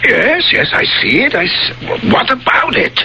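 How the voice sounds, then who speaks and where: An earnest, solicitous male friend narrating and talking in a radio play. His voice is sharp and forceful with a touch of gravel, and his phrasing is deliberate and theatrical. The broadcast carries faint noise. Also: extremely high pitch, big pitch swings, exaggerated voice. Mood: surprised, placating. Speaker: radio drama actor, narrator of the tale. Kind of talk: old-time radio drama acting, first-person narration and dialogue.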